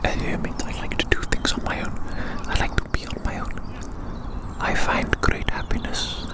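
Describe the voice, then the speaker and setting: An ASMR performer speaking in a breathy whisper, rambling gently with repeated phrases, formal wording and soft tag questions. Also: normal speed.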